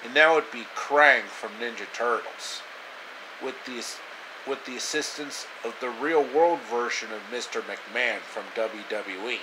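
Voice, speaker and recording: A man in his thirties narrating a homemade story with halting delivery, recorded at arm's length in a small room. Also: medium pitch, background noise. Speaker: storyteller, video creator. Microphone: phone or webcam at arm's length.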